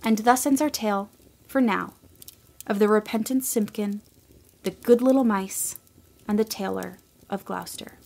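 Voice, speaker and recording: A woman giving scripted storybook narration for a radio play, gentle and expressive, sometimes dropping to a hush. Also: medium pitch, normal speed, gravelly, slight background noise.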